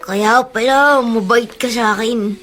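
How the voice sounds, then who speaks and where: A woman's voice: fairly high pitch, steady tone, unhurried, fairly bright tone, a little hiss or hum. A woman with a Filipino accent playing a cheeky, tender-hearted young street boy in a radio drama, speaking in an earnest, childlike way. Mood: wistful, sincere.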